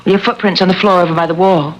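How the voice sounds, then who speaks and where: An actress as an uneasy wife, noisy old radio mystery. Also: female voice, on the low side, steady tone, fast, muffled recording, natural voice. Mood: puzzled, insistent. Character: observant, uneasy married woman in haunted house. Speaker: radio drama actor. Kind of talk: 1970s radio mystery drama acting. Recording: noisy 1970s radio broadcast recording.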